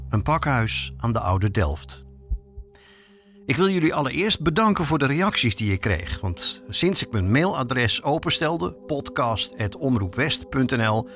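A Dutch man presents a podcast as its reporter, reading scripted narration at a middling pitch. His intonation is animated and moves freely up and down. Music plays behind his voice. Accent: Netherlands Dutch.

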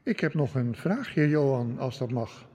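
A man playing a deferential, calculating burgomaster in a historical audio drama, hesitant and polite, with a creaky voice. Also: medium pitch, animated, a little slow, darkish tone. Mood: deferential, probing. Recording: long hall reverb.

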